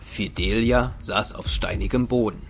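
A man's voice: lowish voice, fairly even intonation, a little slow, close to an everyday voice. A man narrating a fantasy audio drama in scripted, dramatic storytelling style. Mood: dramatic, descriptive. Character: male storyteller of a fantasy adventure. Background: music.

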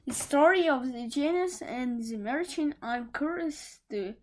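A woman voicing a commanding, curious male Sultan in a storybook, with a deliberate, halting delivery. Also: high-pitched, expressive, darkish tone, voice pushed up. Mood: cool curiosity.

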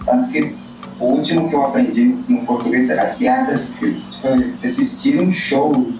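A man's voice: mid-range voice, steady tone, moderate pace, darkish tone, very echoey, distant mic, soft background music, rough recording. A Colombian man, a guest speaking thoughtfully in a casual chat, searching for words. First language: Spanish.

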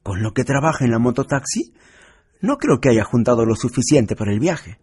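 An actor with a Peruvian accent playing a reasonable, concerned middle-aged husband in a radio drama. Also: male voice, mid-range voice, lively intonation, moderate pace, darkish tone, slightly rough, no echo.

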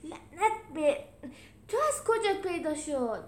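A woman's voice: high-pitched, very animated. A woman voicing a cautious, jumpy male animal with an anxious, questioning manner in a storybook reading. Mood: startled, questioning. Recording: roomy echo with some background noise.

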